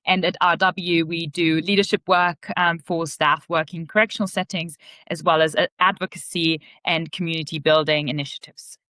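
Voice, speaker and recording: A woman's voice: deep voice, steady tone, normal speed, bright, forceful tone, dry recording. A woman from Austria answering interview questions in an earnest, reflective, conversational way. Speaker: podcast guest, leadership organization CEO.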